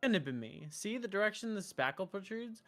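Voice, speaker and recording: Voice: medium pitch, very animated, fairly quick. A streamer of androgynous voice playing a visual novel, casually bantering with wisecracks and swearing between lines read aloud. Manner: wisecracking, profane. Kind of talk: casual gameplay banter mixed with reading dialogue aloud. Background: game music.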